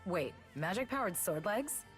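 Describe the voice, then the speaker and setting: A woman's voice: mid-range voice, sing-song, a little slow, faint music behind. A woman playing a cocky, mocking, combative female rebel in a fantasy video game. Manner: mocking, cocky, sharp-tongued. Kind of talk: video game character voice lines.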